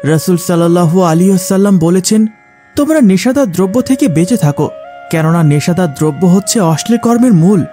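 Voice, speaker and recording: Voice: mid-range voice, lively intonation, a little fast, warm tone, lightly acted. A performer voicing a righteous young friend who solemnly moralizes in a cartoon. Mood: solemn, preaching. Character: righteous young boys admonishing a wayward friend. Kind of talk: cartoon voice acting, preachy. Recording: music behind the voice. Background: background music.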